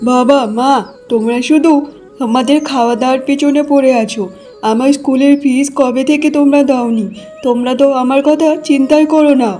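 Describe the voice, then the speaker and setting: A woman's voice: high-pitched, steady tone, brisk, mellow, soft background music, close to an everyday voice. A woman voicing an impatient ten-year-old boy in a cartoon story, complaining and insistent. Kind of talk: Bengali cartoon story voice acting.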